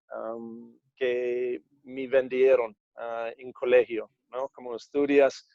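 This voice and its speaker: Mellow-voiced man with an English-speaker's accent. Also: mid-range voice, vocal fry, dry recording, muffled recording. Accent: Australian-American English speaker of Spanish. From Australia. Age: 30s. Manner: halting, many fillers and restarts. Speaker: guest, entrepreneur. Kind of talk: casual interview answers.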